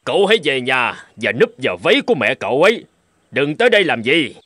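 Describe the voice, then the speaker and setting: An actor playing a gruff, scornful middle-aged army commander in a cartoon, stern and mocking. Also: male voice, medium pitch, sing-song, fast, sharp tone, no room sound, clean studio sound. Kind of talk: dubbed cartoon dialogue. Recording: music behind the voice.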